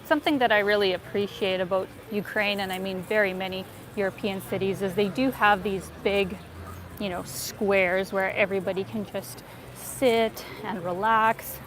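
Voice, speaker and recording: A woman from North America hosts a casual travel livestream, filmed on a phone as she walks through a city square and chats with her viewers, with fillers and soft laughs. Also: medium pitch, fairly even intonation, moderate pace, a little hiss or hum. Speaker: travel vlogger livestream host. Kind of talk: casual livestream chat while walking. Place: outdoors in a city square and park. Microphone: phone held while walking.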